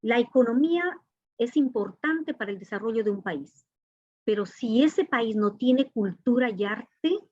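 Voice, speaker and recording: Echoey, higher-voiced woman being interviewed. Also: expressive, a little slow, dark tone. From Ecuador. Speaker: interview guest, artist and professor. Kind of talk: conversational interview answers. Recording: dull, echoey lo-fi call audio.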